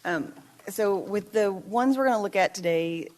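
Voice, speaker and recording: A woman presenting a list of projects to a committee in a measured, explanatory way. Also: medium pitch, darkish tone, slight background noise. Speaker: staff presenter. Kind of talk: meeting presentation.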